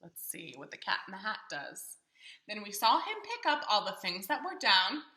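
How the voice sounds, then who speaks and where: A woman's voice: mid-range voice, big pitch swings, moderate pace, sharp tone, reverberant. A woman reading a storybook aloud to young children expressively and theatrically, putting questions to her listeners.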